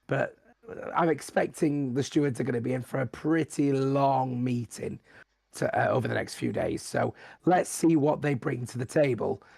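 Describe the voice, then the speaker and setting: A man commentating on sim racing, his voice croaky, rambling and hesitant. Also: mid-range voice, moderate pace, a little mellow, no room sound. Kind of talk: casual commentary.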